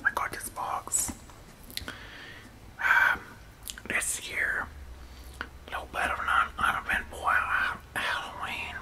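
A young man whispering breathily as an ASMR host, halting and folksy, repeating his phrases close to the microphone. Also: bright, forceful tone, rough recording. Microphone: close studio microphone with foam cover.

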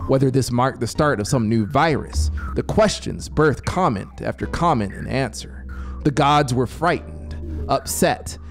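A man in his thirties narrating fiction in a theatrical, suspenseful manner, close to a studio microphone with music behind him. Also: medium pitch. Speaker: audiobook narrator. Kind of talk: dramatic scripted narration over a soundscape. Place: small home music studio. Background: music soundscape.

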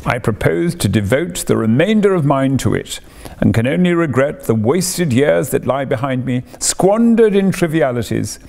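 A man voicing a boastful, excitable male toad who pleads and shows off in a storybook reading. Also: medium pitch, normal speed, vocal fry. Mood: grandiose. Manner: exuberant, theatrical, pleading.

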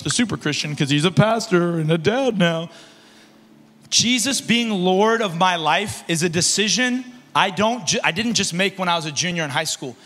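A young man preaching to a youth conference on a large stage into a handheld mic, alternating shouted emphasis with low, soft asides. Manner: paces and gestures across the stage. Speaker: youth pastor. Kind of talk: energetic sermon. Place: large stage in a concert hall. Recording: reverberant hall with faint music.

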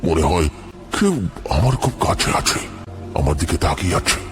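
A man voicing a terrified, haunted man recounting nightmares in a horror story, halting and repeating words in dread. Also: sing-song. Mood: haunted, fearful. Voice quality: very deep. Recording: music under the voice.

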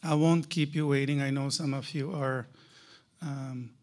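A man's voice: medium pitch, steady tone, a little slow, a little mellow. A man giving a conference keynote to a live audience, emphatic, with rhetorical questions and repeated key phrases. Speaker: keynote speaker.

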